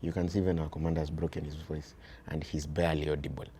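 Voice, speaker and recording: A man with a Kenyan accent and a very deep voice, talking earnestly as an interview guest about raising his sons, often dropping "you see" and shifting into Swahili. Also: level tone, fast talker, dark tone, a little hiss or hum. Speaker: guest, father of boys. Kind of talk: reflective conversational interview.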